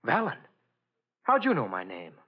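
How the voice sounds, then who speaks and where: A man's voice: medium pitch, huge pitch swings, normal speed, husky. An actor playing an earnest, straightforward male witness telling his account in an old radio crime drama. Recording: dull old radio recording.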